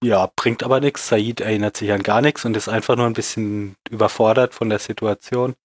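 A man's voice: lowish voice, steady tone, a little fast, dry recording. A man with a cold co-hosting a casual series recap, retelling scenes plainly with dry humour. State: has a cold. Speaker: podcast co-host.

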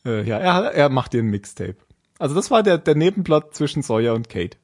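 A man's voice: fairly high pitch, sing-song, brisk. A man casually recapping a TV episode on a podcast, rambling and correcting himself as he goes. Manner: rambling, self-correcting, with frequent fillers and laughs. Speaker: podcast co-host.

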